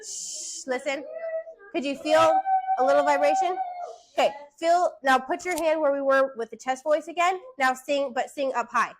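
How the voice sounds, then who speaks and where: A woman voice teacher giving classroom singing instruction, hushing her students and checking in with "okay?" after nearly every point. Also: sits high, very animated, normal speed, a little hiss or hum, poor audio.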